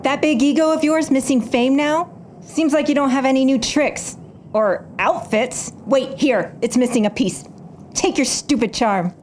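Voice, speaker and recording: A woman's voice: sits high, measured pace, slight background noise. A woman playing an embittered, defiant stage magician in an audio drama, narrating her own story with dramatic intensity. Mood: angry, defiant. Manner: dramatic, swings from hushed narration to angry outbursts. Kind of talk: audio drama acting with first-person narration.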